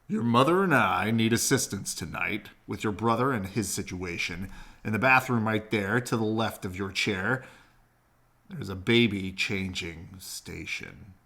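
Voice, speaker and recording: A man's voice: fairly low pitch, unhurried, creaky, bright, forceful tone, exaggerated voice. A man voicing a formal, grandly serious father addressing his young son in a picture book. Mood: solemn, formal.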